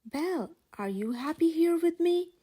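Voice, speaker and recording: A woman reading the part of a gentle, lovelorn male beast in a storybook, tender and sorrowful. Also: very high-pitched, lively intonation, normal speed, pitched above the performer's own voice.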